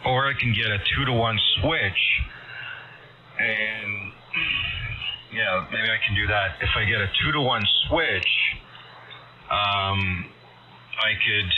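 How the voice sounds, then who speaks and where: A man chatting casually about technical matters over amateur radio, speaking in a halting, hesitant way through a shortwave transmission. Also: sits low. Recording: noisy narrow-band ham radio signal.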